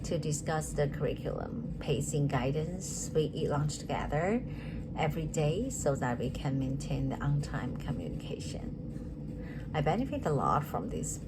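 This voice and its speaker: Low-voiced woman. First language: Chinese. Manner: earnest, measured, frequent restarts and self-corrections. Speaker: teacher interview candidate. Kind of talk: rehearsed interview answers. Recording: steady background noise.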